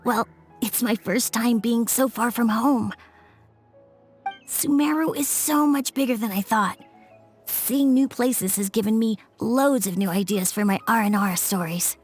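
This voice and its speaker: A woman's voice: a little high, unhurried, faint music behind, close to an everyday voice. A woman voicing an earnest, cheerful young boy in a game. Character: hopeful young boy artist, eager. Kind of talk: game voice acting.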